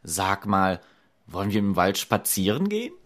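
Man as a lively, naive fairy-tale suitor. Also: sits low, normal speed. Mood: eager. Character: curious, eager, naive young male wandering hairdresser. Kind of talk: fairy-tale radio play acting.

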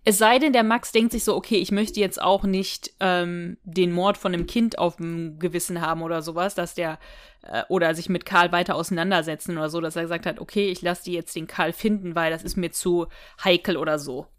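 A woman's voice: on the low side, steady tone, fairly quick. A woman co-hosting a podcast, casually discussing an audio drama in long, looping explanations. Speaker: podcast co-host.

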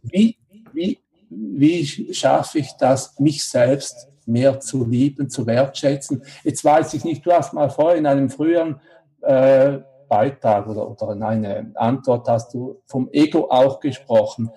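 Swiss guy interviewing, lots of ums, kinda dark mellow voice. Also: medium pitch, measured pace, rough recording. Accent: Swiss German. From Switzerland. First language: Swiss German. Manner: hesitant, many fillers and restarts. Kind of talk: interview questions.

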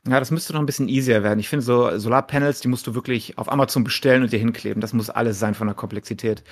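A man speaks very quickly in a mid-range voice, chatting as a podcast co-host. He casually mixes English words into what he says. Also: mid-range voice, steady tone. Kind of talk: casual chat.

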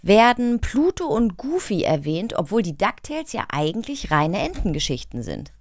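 A woman's voice: mid-range voice, very animated, normal speed, dry recording, clean studio sound. A woman chatting casually in a conversational style. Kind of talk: casual chat.

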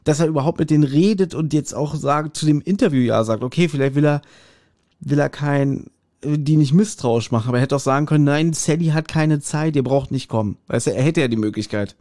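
Male podcast co-host, warm mellow tone, informal storytelling and review, bone-dry sound. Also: fairly high pitch, fairly quick, no room sound. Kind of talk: casual chat retelling and reviewing a story.

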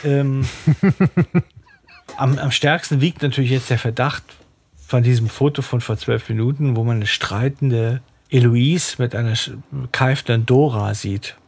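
A man's voice: mid-range voice, measured pace, darkish tone, breathy edge. A man co-hosting a fan podcast who talks hesitantly in casual chat, with many fillers and frequent laughs. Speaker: podcast co-host. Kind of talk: casual chat discussing a radio play.

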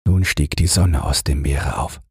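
A man narrating a bedtime fairy tale as an audiobook, in a calm, gentle and measured manner. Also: extremely low pitch, breathy edge, no room sound, clean studio sound.

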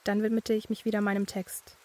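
A woman playing a young student who narrates her eerie experiences in a measured, scripted first-person style. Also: mid-range voice, monotone, dark tone, lightly acted. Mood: measured, uneasy.

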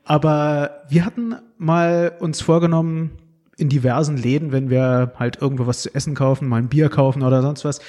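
A man's voice: fairly high pitch, fairly even intonation, moderate pace, dark tone. A man hosting a casual podcast conversation, hesitant and searching for his words, with many fillers. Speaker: podcast host.

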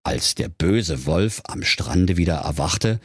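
A man's voice: low voice, expressive, takes it slowly, breathy edge, dry recording, clean studio sound. A man narrating a comic fairy tale as a storyteller, in a dramatic storybook manner. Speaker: storyteller, podcast host.

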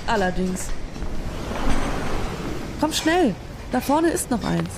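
A woman's voice: a little high, very animated, slow, vocal fry, very noisy, heavily acted. An actress playing an impatient, resolute woman in an amateur science fiction audio drama. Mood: urgent. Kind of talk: hobby sci-fi audio drama acting.

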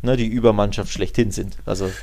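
A man's voice: on the low side, steady tone, fairly quick, gravelly, mellow. Emphatic man talking football. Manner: opinionated, emphatic, colloquial, builds points through repetition. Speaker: football podcast co-host. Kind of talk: casual football discussion.

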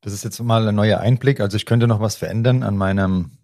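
A man's voice: fairly low pitch, quick pace, creaky, darkish tone. A man from Hesse with a light Hessian accent, hosting a podcast and asking questions in a friendly, conversational way. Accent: light Hessian German. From Hesse, Germany. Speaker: podcast host. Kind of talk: casual interview chat.

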